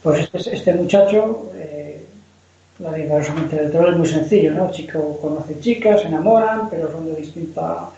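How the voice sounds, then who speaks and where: A man's voice: a little high, moderate pace, vocal fry, dark tone, a little hiss or hum. A man from Spain who writes novels, talking loosely about history in a rambling way with many restarts. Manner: rambling, frequent restarts and repeated words. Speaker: novelist guest. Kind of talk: casual conversation on history. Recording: dull lo-fi sound in an echoey room.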